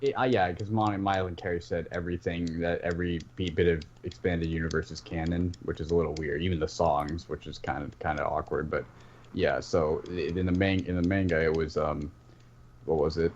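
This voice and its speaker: Very low-voiced man, muffled and noisy. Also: steady tone, fairly quick, a little mellow. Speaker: podcast panelist. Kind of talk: casual fan discussion of an animated series. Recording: muffled mic with clear background noise.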